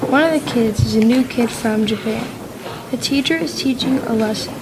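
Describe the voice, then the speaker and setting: A woman's voice: on the high side, normal speed, noisy background. A female narrator reading scripted scene descriptions for an amateur radio play.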